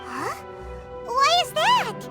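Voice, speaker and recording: A woman voicing a tiny, excitable floating fairy companion who talks about herself by name. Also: very high voice, slow pace, heavily acted. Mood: earnest. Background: game music.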